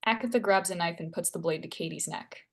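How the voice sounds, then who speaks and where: A woman's voice: fairly low pitch, fast. A woman reading stage directions aloud as scripted narration at a screenplay table read.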